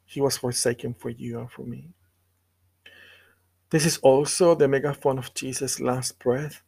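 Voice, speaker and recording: A man delivering a scripted devotional sermon and scripture reading in an earnest, measured way with deliberate pauses. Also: medium pitch, gravelly, a little mellow, breathy edge. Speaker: preacher.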